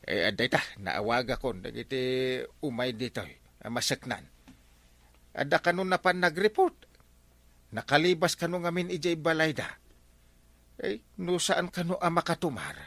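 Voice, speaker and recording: A male actor plays a bumbling Ilocano village captain in a radio comedy. His voice is bright and forceful, swinging in pitch as he stammers and exclaims, recorded close and dry. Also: animated, normal speed, long pauses, dry recording. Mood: concerned. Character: bumbling village captain, adult man, easily flustered.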